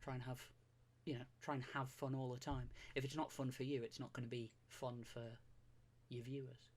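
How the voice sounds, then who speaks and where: A man's voice: mid-range voice, level tone, brisk. A man, chatting casually as a guest, rambling and self-deprecating with lots of fillers and restarts. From Greater Manchester, England. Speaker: streamer guest. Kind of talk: casual podcast chat.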